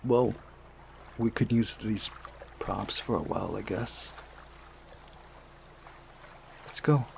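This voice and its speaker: A man's voice: fairly low pitch, unhurried, vocal fry, a little mellow, slight background noise. A man voicing an ordinary young man in a visual novel, defensive and hesitant in manner. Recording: music behind the voice.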